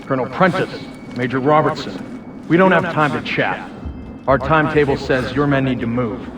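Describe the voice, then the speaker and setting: An actor playing a cold, commanding, corrupt agency director in a video game, with an American accent. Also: male voice, mid-range voice, lively intonation, moderate pace, music in the background, natural voice. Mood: brisk authority. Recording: echoey, distant, ambient noise.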